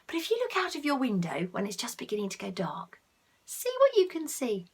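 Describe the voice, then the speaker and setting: Animated, high-voiced woman reading to children. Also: a little fast, slightly rough. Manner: expressive, dropping into hushed tones for effect. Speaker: storyteller reading a picture book to children. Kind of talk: animated read-aloud with asides to young listeners.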